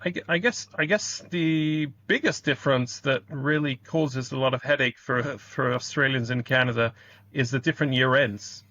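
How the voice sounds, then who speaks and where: A man's voice: mid-range voice, moderate pace, sharp tone. A middle-aged British man, an accountant, answering interview questions over a remote call in a polite, explanatory way, often restarting his sentences. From United Kingdom. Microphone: remote call microphone. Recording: dull, dry remote call audio.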